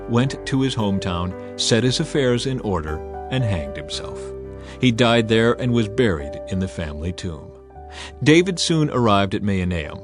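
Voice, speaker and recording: A man reading scripture as a dramatized audiobook narration, measured and emphatic in his storytelling. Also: fairly low pitch. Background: music under the voice.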